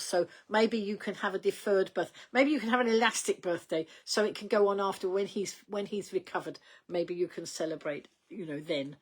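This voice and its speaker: An older woman hosting a casual livestream, rambling in a chatty way with many ums and small laughs, her voice going slightly croaky. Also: fairly low pitch. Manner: chatty, rambling, reads out viewer comments, frequent ums and laughs. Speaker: livestream host.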